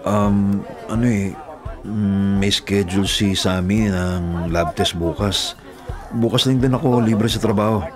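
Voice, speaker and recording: A young man with a Filipino accent acting in a radio drama as a devoted, struggling older brother and gentle sweetheart, with an earnest, hesitant, emotive delivery. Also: on the low side, fairly even intonation, unhurried, lightly acted. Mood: hesitant, tender. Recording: music under the voice.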